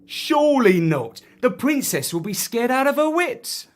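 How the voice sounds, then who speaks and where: A man's voice: extremely high pitch, big pitch swings, a little slow, voice pushed up, exaggerated voice. A man voicing a jumpy palace night guard in a children's story, with theatrical, archaic challenges. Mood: alarmed.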